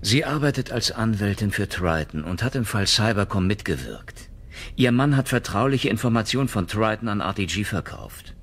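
Lively, low-voiced investigator. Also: male voice, moderate pace. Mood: probing. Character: probing, wry male FBI investigator. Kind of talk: German audio drama dialogue.

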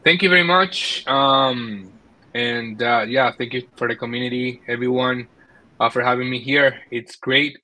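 A man in his thirties from the Dominican Republic with a Dominican Spanish accent, giving a technical presentation over a video call in a halting way, often repeating words. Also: medium pitch, pushed and bright. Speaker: conference speaker, software developer. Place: small indoor room at home, video call.

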